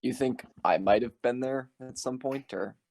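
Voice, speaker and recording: A man's voice: medium pitch, normal speed, gravelly, darkish tone. A man talking casually as a player in a tabletop roleplaying game, on a noisy microphone with clicks.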